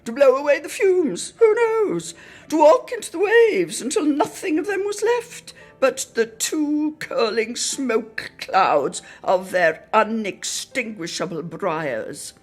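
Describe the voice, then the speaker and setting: A woman reading a literary Christmas reminiscence aloud in a theatrical, expressive style, lingering on words for emphasis. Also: high voice, slow pace. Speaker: reader in a radio players' production.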